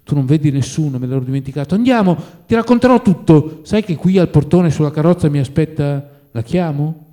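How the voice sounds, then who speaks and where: Man acting an agitated friend, pleading and urgent, pitch lifted. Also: steady tone, fast, warm tone, natural voice. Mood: insistent. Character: agitated, insistent man urging his fallen friend.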